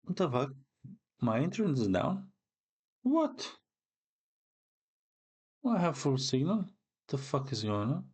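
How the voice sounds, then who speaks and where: A man's voice: fairly high pitch, sing-song, slow pace, long pauses, darkish tone, slightly rough. A man hosting a reaction video, chatting casually and musing aloud with hesitant, repeated phrases. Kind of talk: casual chat, live reaction.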